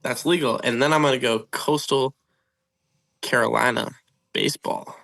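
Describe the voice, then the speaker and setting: A man's voice: mid-range voice, moderate pace, vocal fry, fairly bright tone. A man speaking as a guest in a casual podcast chat about sports.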